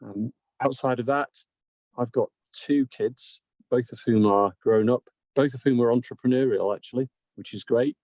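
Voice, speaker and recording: A man in his fifties with a northern English accent, introducing himself on a video call in a measured, gently self-deprecating way. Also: medium pitch, dry recording, dull audio. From northern England. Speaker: business owner, guest.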